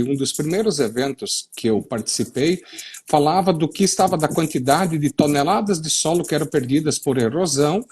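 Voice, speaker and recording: A man's voice: fairly high pitch, darkish tone, breathy edge. A middle-aged man from western Paraná with a gaúcho-tinged southern Brazilian accent, explaining soil practice in a live stream over a video call, rambling and restarting as he goes. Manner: digressive, explanatory, with many fillers and restarts.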